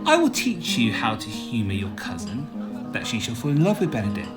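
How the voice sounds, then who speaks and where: A man's voice: on the low side, wildly swooping pitch, normal speed, over loud music. A man acting a playful, matchmaking prince in a Shakespearean radio play, with a theatrical, declaiming manner. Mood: scheming delight. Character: playful matchmaking prince, scheming with mischievous confidence. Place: home recording.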